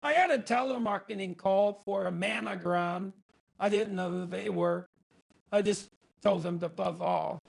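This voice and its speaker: A man voicing a stubborn, thrifty older man through a voice changer, raspy and hesitant, in an improvised phone conversation. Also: measured pace, exaggerated voice. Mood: compliant. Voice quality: raspy, creaky, high-pitched.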